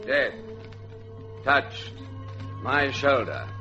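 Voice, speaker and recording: A man presenting an old radio story series in a deliberate, theatrical announcer's delivery. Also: medium pitch, huge pitch swings, gravelly. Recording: muffled old radio recording under loud music.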